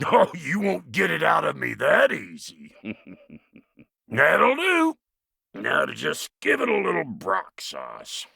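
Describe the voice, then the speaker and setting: A man voicing a gruff, cranky, foul-mouthed but fond dwarf blacksmith in a video game, with a raspy, folksy drawl. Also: high voice, pushed and bright, no room sound, clean studio sound, exaggerated voice. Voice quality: gravelly, raspy. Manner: folksy, crude, blustering drawl. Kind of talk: video game character dialogue.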